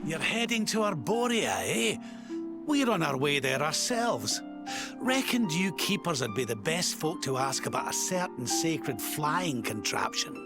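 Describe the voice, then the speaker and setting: A man's voice: a little high, sing-song, measured pace, music in the background. A man playing a worldly, plain-spoken adventurer in a video game, talking in a casual, folksy way. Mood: casual, curious. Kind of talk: video game character acting.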